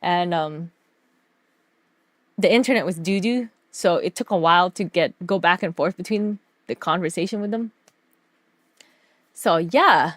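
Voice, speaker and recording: A woman streamer telling stories casually over a slideshow, animated, giggly and slangy in her delivery. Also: fairly low pitch, unhurried, long pauses, no room sound. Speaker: streamer and artist.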